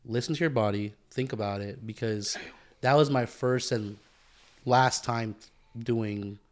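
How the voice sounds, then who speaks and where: A man's voice: mid-range voice, measured pace. Slangy man sharing stories on a podcast. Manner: slangy, loose, swearing bro-talk. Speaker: podcast co-host. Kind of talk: casual chat with anecdotes.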